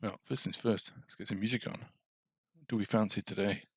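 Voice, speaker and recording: Man, gravelly low voice, informal coding stream host, thinking aloud, close dry sound. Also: steady tone, moderate pace, a bit bright, no room sound. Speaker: game development tutorial host. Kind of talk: casual coding livestream commentary.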